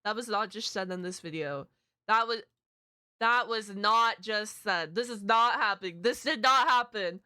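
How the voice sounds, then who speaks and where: A woman's voice: mid-range voice, measured pace, sharp tone, smooth, no room sound. A woman hosting a reaction video, commenting casually in a slangy, exclamatory way.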